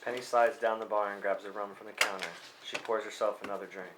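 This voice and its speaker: A man's voice: lowish voice, fairly even intonation, quick pace. A man plainly reading stage directions at a script table read, now and then stumbling over a word. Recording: faintly noisy live room recording.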